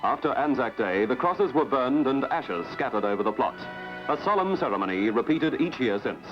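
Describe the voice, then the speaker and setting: A man reading scripted newsreel narration in a formal, measured announcer's delivery. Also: medium pitch, very animated. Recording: dull archival sound, music behind. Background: music.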